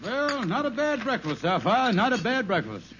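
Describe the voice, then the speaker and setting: An actor playing a wily, blustery middle-aged married schemer in an old radio sitcom, folksy and bluffing. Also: male voice, very high-pitched, very animated, normal speed, loud music behind the voice. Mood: henpecked, needling. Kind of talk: 1940s-50s radio comedy acting. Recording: muffled old radio recording, hissy.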